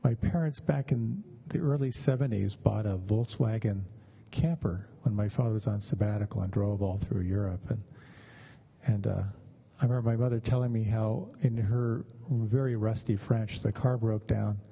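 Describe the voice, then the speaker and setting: A middle-aged or older man giving a conversational meditation talk with personal stories, speaking in a measured, halting way with pauses. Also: lowish voice, vocal fry, mellow, slightly rough.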